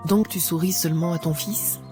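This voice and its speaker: A woman playing an affectionate, teasing wife and mother in an animated drama. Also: lowish voice, moderate pace, close to an everyday voice. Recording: clear music behind the voice.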